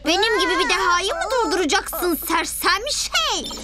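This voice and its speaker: A young cartoon villain, a boy genius with a very high voice, boastful and taunting. Loud music plays underneath. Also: expressive, normal speed. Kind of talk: animated children's cartoon dubbing. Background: loud cartoon score.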